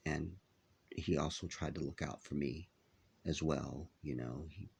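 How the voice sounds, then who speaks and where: A man talking to his viewers in a halting vlog, with a nasal, congested voice as he recovers from an illness. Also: rumbling low, vocal fry, darkish tone, a little hiss or hum. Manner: halting, many filler pauses. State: stuffy, congested after illness. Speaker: vlogger. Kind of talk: casual vlog talk to viewers.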